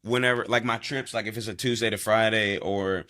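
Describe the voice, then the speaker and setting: Rambling bright-voiced man in his thirties. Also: lowish voice, steady tone, fairly quick, no room sound, studio quality. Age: 30s. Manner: rambling, profane, trails off. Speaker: podcast host. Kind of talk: casual chat.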